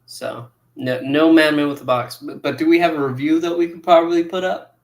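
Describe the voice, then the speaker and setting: A man hosting and moderating a casual chat show in a halting, informal manner. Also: fairly high pitch, fairly even intonation.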